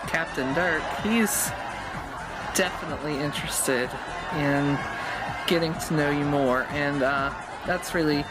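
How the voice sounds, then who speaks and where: Man as awkward matchmaker, loud crowd behind. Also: fairly high pitch, natural voice, low-quality recording. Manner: hesitant, colloquial. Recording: voice amid very loud music, outdoor crowd.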